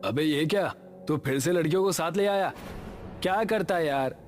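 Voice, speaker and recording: Guy doing a brash village lad in a cartoon, quite high voice, rustic talk, faint music. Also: moderate pace, close to an everyday voice. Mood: casual, brash.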